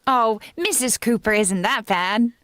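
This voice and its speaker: Lady doing a wry old-time radio maid, highish lively voice, dry and knowing, close clean sound. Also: unhurried, no room sound. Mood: matter-of-fact. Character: wry, loyal female housemaid in a radio comedy. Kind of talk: 1940s radio sitcom acting.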